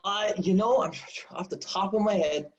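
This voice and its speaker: A man in his forties, a high school football coach, telling stories in a rambling, self-deprecating way full of fillers. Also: normal speed. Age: 40s. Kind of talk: casual storytelling interview. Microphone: video call. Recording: dull lo-fi video call audio.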